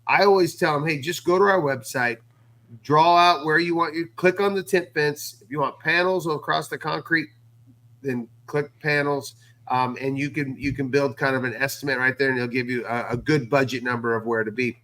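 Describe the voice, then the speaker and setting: A man speaking as guest co-host and fence contractor in a casual recap chat, with a halting delivery that repeats words. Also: mid-range voice, steady tone, sharp tone.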